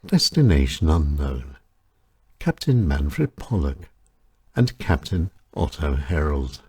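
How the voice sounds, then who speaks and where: Slow, husky low-voiced male storyteller. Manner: measured, expressive delivery with wide swings in pitch. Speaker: storyteller and radio show presenter. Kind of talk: scripted short-story reading.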